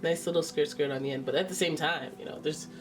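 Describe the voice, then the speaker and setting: A woman's voice: deep voice, fairly even intonation, fast talker, recorded from a distance. A woman with a stuffy nose giving a casual, chatty tarot reading, exclaiming and thinking aloud as she turns the cards. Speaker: tarot reader.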